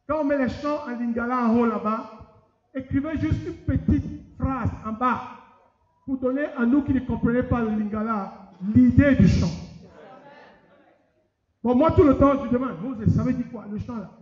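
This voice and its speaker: High-voiced preacher in an echoey hall. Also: male voice, moderate pace. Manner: lively, addresses the congregation directly. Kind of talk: sermon. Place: conference hall. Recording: echoey hall, dull sound.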